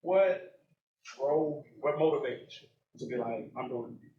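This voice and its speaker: A man's voice: mid-range voice, a little slow, reverberant, highs cut off. A man hosting a live podcast and interviewing a guest, often answering with "right.". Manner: interviewer, many "right" backchannels. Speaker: podcast host. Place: stage at a live event.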